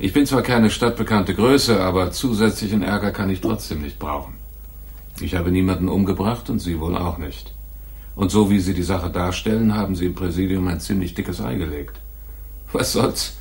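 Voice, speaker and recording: A man's voice: low-pitched, darkish tone. An actor playing a big, tough, shady man in a noir radio play, skeptical and sardonic as he bargains. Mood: wry, bargaining. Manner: sardonic, wary, deliberate. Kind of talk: 1980s German radio play, noir dialogue.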